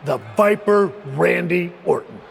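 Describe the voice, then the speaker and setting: A man playing a smug, domineering wrestling faction boss in a video game cutscene, speaking in a measured, condescending way. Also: sits high, creaky, background noise, exaggerated voice. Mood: condescending, stern.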